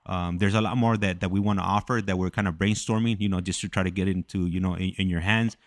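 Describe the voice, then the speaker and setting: A man hosting a casual live talk, speaking in a hesitant, halting way and often repeating his words. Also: low voice, steady tone, dry recording. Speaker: host of a live prison call podcast.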